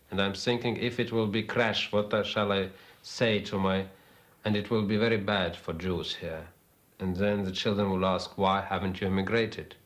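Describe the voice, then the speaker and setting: A man giving a documentary interview, speaking haltingly and searching for words in a second language. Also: on the low side. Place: home in Estonia. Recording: noisy old documentary film sound.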